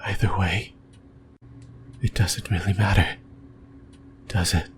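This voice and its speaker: Male actor as possessive, lonely demon for whispered ASMR drama; low, halting, breathy, musing, with noticeable background noise. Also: fairly even intonation. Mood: eerie, musing. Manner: slow, halting, rambling, intimate. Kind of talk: creepy whispered ASMR roleplay monologue. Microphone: close microphone, whispering into the ear.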